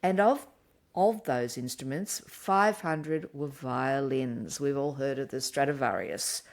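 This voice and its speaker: Low-voiced woman explaining with enthusiasm. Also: slow pace. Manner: laughs easily, explains with enthusiasm. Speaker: podcast co-host. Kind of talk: casual chat.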